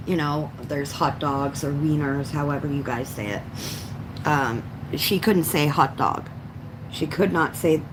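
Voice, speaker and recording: A middle-aged woman from Canada chatting casually on a livestream in a halting, rambling way, often clearing her throat. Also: sits low, noisy background. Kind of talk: casual rambling chat while coloring.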